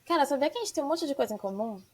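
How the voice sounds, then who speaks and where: A woman's voice: high-pitched, expressive, very fast, darkish tone, a little hiss or hum. A woman from Salvador with a Bahian accent, hosting a podcast in a casual, chatty way with slang. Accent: Bahian Portuguese. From Salvador, Bahia, Brazil. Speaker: podcast host. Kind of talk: podcast interview.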